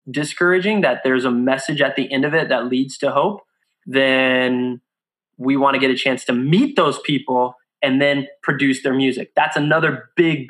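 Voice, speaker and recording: A middle-aged man from Brazil talks as a podcast guest, earnest and unhurried. His voice is bright and forward, with plenty of edge. Pitch sits at an ordinary middle height for a man. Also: low-quality recording. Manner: earnest, deliberate, acts out quotes in a raised voice. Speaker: guest, music blog founder. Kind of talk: casual podcast conversation.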